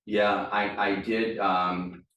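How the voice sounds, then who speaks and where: Low-voiced podcast host, rambling. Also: male voice, level tone, slow, fairly bright tone, muffled recording. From California. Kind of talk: casual interview. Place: hotel room. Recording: echoey room, distant mic, faint noise.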